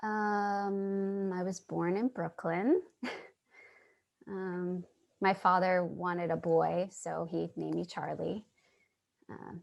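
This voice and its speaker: Woman acting a slow, creaky-voiced troubled loner. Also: lowish voice. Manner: hesitant, halting, dramatic. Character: troubled, earnest loner woman Charlie, would-be writer. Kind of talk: staged play reading with monologue.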